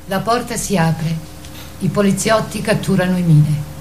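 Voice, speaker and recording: A woman performing as a measured, matter-of-fact storyteller in literary radio theatre. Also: medium pitch, darkish tone, slight background noise, natural voice. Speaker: narrator.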